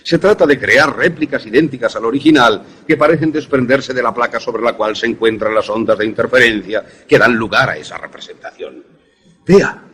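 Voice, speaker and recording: An actor playing an older professor in a suspense radio drama, an obsessive inventor who explains things in a deliberate, didactic, husky way. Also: male voice, mid-range voice, a bit bright. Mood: didactic, proud. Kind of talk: Spanish-language suspense radio drama acting.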